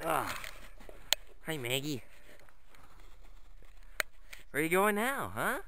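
A man's voice: fairly high pitch, very animated, takes it slowly, gravelly, sharp tone, slight background noise. A man talking to his dogs in a sing-song, coaxing way while filming a walk through snowy woods on a handheld camera. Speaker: vlogger walking his dogs.

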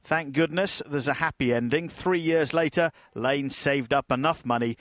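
A man's voice: on the high side, normal speed, fairly bright tone, no echo, clean studio sound. British male reporter, middle-aged, scripted narration for a television feature.

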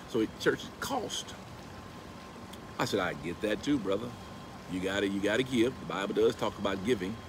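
A middle-aged man telling a story to his phone camera outdoors by a pool, slipping into animated preaching as he quotes scripture. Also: on the low side. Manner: animated, rising into emphatic preaching when quoting scripture. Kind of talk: casual storytelling vlog with preaching. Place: outdoors beside a pool at a house. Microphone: phone camera at arm's length. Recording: outdoor recording with steady background noise.

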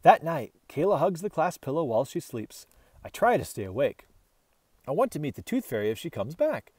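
Animated man reading a storybook aloud. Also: fairly high pitch, a little fast, breathy edge, dry recording. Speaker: storybook reader. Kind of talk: expressive children's read-aloud narration.

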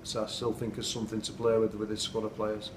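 Middle-aged man, football manager, earnest and flat, noisy room sound. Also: on the low side, fast, far from the mic. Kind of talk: post-match interview. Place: indoors at night, dim room. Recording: echoey room, off-mic, noisy.

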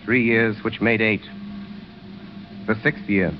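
A man narrating a 1930s radio drama as a grave storyteller, in solemn, measured, dramatic short phrases. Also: lowish voice, fairly even intonation. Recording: dull, noisy 1930s radio recording.

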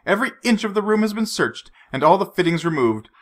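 A man reading a storybook, voicing a suave, menacing male villain who mocks his captive with unhurried threats. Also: high voice, big pitch swings, a bit bright, pitched above the performer's own voice. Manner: silky, mocking, unhurried threats.

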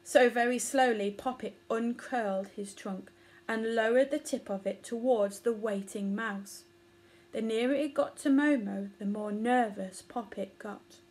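A woman's voice: a little high, measured pace, a little mellow. A woman in her thirties narrating a children's story expressively. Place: small living room or bedroom, indoors.

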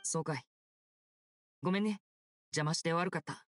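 A man playing a polite, gentle, self-possessed young stage magician in an anime game, courteous and reassuring. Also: on the high side, moderate pace, a little hiss or hum, lightly acted. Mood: calm, reflective.

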